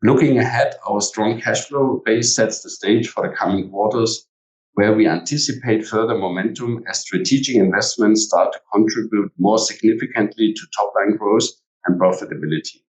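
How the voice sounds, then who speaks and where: A man's voice: on the low side, normal speed. A man formally reading a prepared company earnings presentation as an executive. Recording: echoey room, distant from mic.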